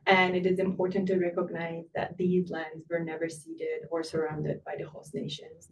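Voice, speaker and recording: A woman's voice: lowish voice, vocal fry, a little mellow, distant mic, a little hiss or hum, rough recording. A woman from Turkey, a clinical research coordinator presenting a webinar in a friendly way.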